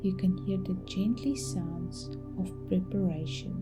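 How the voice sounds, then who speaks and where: A woman storyteller narrating a bedtime meditation story in a soft, gentle, calming and unhurried way, with pauses. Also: on the low side. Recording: music behind the voice.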